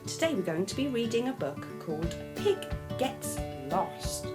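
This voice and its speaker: An English woman tells a children's story. Her voice is fairly high, with huge swings in pitch, and she goes very slowly in simple, clear phrases. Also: big pitch swings, over loud music. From England.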